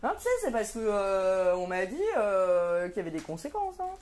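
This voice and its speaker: A pushy, meddling busybody chatters on with false concern, voice pitched up high and pushed loud, as a woman puts her on. The delivery is insistent and bouncy, never letting the point drop. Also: expressive, moderate pace, natural voice. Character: pushy, nosy acquaintance nagging about having children.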